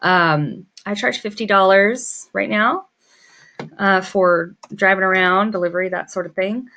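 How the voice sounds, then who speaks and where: A woman's voice: medium pitch, measured pace, bright, forceful tone. A woman giving an informal tutorial, thinking aloud and muttering to herself as she works through it. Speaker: small business owner teaching pricing.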